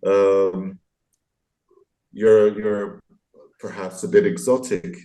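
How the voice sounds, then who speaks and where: A man in his sixties with a low, soft-edged, mellow voice gives reflective interview answers. He is articulate and thoughtful, often searching for the right word, and gently self-deprecating. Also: level tone, drawn-out words, rough recording. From France, partly raised abroad. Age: 60s. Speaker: interview guest, graphic novelist and illustrator.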